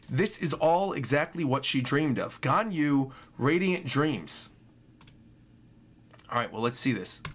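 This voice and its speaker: A man's voice: medium pitch, fairly bright tone, slight background noise. A young man giving casual live reaction commentary as a streamer, effusive and often repeating himself. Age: young adult. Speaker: streamer reacting to game trailers. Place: small furnished room at home. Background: game trailer music.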